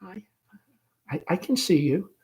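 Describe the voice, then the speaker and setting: A man reads the part of a nervous young woman in a stage play. The voice is thin, breathy and faint. Words come hesitantly, with stammered repeats, as if shyness leaves little air. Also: sits high, animated, measured pace, pitched above the performer's own voice. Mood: nervous, flustered. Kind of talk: play read-aloud.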